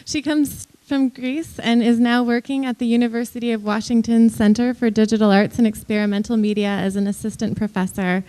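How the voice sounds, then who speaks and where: A woman's voice: fairly high pitch, little pitch movement, a little fast, hardly any pauses, warm tone, rough recording. A woman introducing a guest speaker at an event, brief and friendly.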